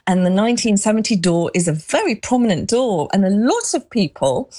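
A woman's voice: mid-range voice, expressive, a little fast, warm tone. Chatty middle-aged woman. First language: Urdu. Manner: chatty, with many fillers and restarts. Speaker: guest, exhibition creator. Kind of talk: radio interview.

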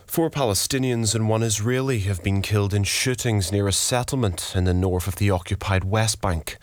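A man's voice: deep voice, no room sound. A man reading scripted radio news as a newsreader. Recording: dry studio sound.

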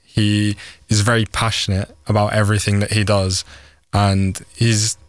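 A man's voice: lowish voice, level tone, unhurried, dry recording. A young man from Pakistan, a native Pashto speaker, chatting casually as an interview guest. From Swat Valley, Pakistan. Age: young adult. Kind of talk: casual chat.

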